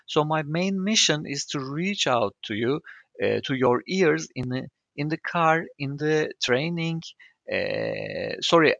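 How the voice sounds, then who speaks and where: A man's voice: a little high, vocal fry, fairly bright tone, no room sound, lo-fi sound. A young man with a Turkish accent hosting a solo podcast, speaking English carefully as a non-native speaker, with some fillers. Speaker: podcast host.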